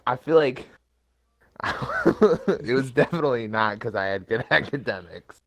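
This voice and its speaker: A man's voice: medium pitch, lively intonation, a little slow, fairly bright tone. A young American man telling informal, sweary stories in a casual chat with friends, as a podcast co-host. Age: young adult.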